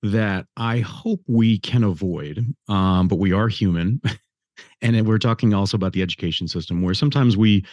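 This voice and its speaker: Older man, interview host, fairly low voice, dry close sound, rambling questions. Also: moderate pace, darkish tone, no echo. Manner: rambling, thinking aloud with many fillers.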